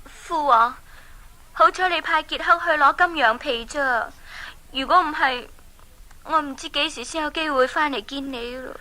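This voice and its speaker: An actress with a Hong Kong Cantonese accent playing a plucky, caring young princess in a children's radio drama. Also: female voice, sits high, normal speed. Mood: happy. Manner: expressive, urging, exclamatory.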